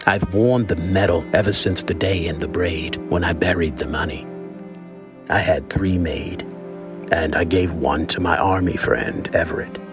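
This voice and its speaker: A grave former soldier , played by a man, speaks very deep and slow in an audio drama. He is deliberate, defensive and insistent, with music underneath. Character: resolute, defensive male former soldier giving testimony.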